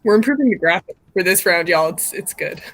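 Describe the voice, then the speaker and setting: A woman's voice: fairly low pitch, animated, a little fast, a little hiss or hum. Casual, giggly woman hosting a stream. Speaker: live stream host. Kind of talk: casual live introduction.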